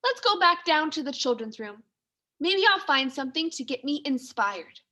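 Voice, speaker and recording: A woman reading a storybook as a timid, thoughtful male mouse, with earnest, expressive delivery. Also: sits high, moderate pace, exaggerated voice. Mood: dissatisfied. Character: timid, thoughtful male library mouse who craves coziness.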